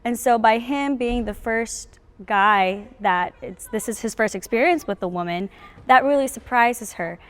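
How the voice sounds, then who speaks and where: A teenage girl speaks casually in an interview. Her voice is fairly high and smooth, with a reverberant room around it. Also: measured pace. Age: teens. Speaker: student actress.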